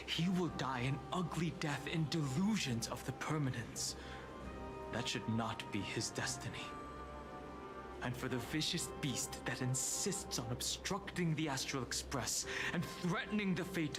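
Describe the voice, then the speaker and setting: A man voicing a stoic, resolute warrior lord in a video game, speaking in a measured, grave, formal way. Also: medium pitch, animated, lightly acted. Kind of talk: dramatic video game voice acting. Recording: loud game music behind the voice.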